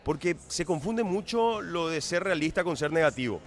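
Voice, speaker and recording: A man's voice: fairly high pitch, lively intonation, moderate pace. A man hosting a radio show, introducing his guest with enthusiasm and asking long, wordy questions. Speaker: radio host. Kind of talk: radio interview.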